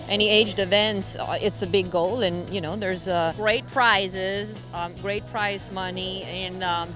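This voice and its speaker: Italian-born woman giving a halting interview. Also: medium pitch. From Italy. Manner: hesitant, many fillers. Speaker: horse show competitor. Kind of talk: casual interview answers.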